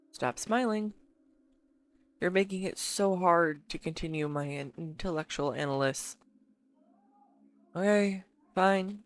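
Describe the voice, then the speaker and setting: A woman acts out an earnest, bashful girlfriend in an intimate audio roleplay. Her voice sits low for a woman and she takes her time. She rambles affectionately to one listener, halting and teasing as she goes. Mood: flustered, playful.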